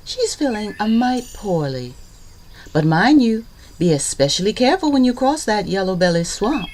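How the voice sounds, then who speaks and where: A woman playing a brisk country mother. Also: mid-range voice, very animated, a little mellow, a little hiss or hum, pitched above the performer's own voice, natural voice. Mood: instructing. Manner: quick, brisk instructions in a raised voice.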